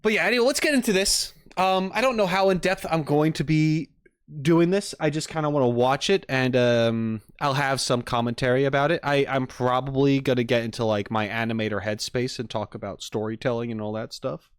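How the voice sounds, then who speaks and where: A man chatting casually on a livestream as he reacts to game trailers, rambling in an animated, self-deprecating way. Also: medium pitch, brisk, smooth, no room sound, clean studio sound.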